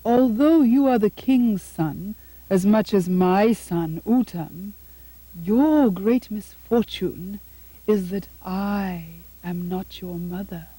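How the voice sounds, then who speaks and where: Woman as a slow, husky, haughty stepmother queen. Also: mid-range voice, dark tone, dry recording, low-quality recording. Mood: condescending. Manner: condescending, measured, theatrical. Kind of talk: puppet show dramatic acting.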